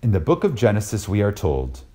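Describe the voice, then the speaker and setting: A man reading a scripted lecture dramatically and reverently, with deliberate pauses and emphasis. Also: lowish voice, huge pitch swings, dark tone. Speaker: reader of a recorded lecture.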